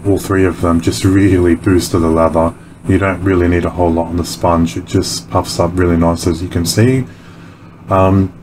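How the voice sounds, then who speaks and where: A man casually explaining his soap making in a kitchen, relaxed and chatty with many pauses and ums. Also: sits low, reverberant, background noise. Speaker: soap maker. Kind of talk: casual how-to narration.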